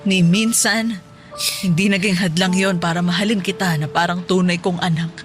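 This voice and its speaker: A woman's voice: on the low side, steady tone, moderate pace, soft background music, lightly acted. An actress playing a loving, playful middle-aged mother in a Tagalog radio drama, coaxing and reassuring. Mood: tender, earnest.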